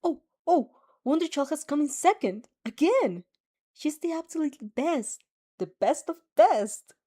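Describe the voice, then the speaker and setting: A woman voicing a loud, fiery teenage boy who cheers with passion in a fanfic reading. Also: high voice, animated, measured pace, voice pushed up. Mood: shouting, cheering. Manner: shouting with passion.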